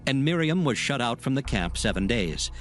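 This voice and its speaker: A man narrating scripture in a measured, formal reading. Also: mid-range voice, sing-song, fairly bright tone. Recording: music under the voice.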